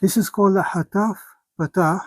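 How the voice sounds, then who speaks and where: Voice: medium pitch, steady tone, normal speed, no echo. A teacher giving a careful beginner lesson, spelling out letter sounds one by one, with some fillers and restarts. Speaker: language teacher. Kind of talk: beginner lesson on script and vowel marks.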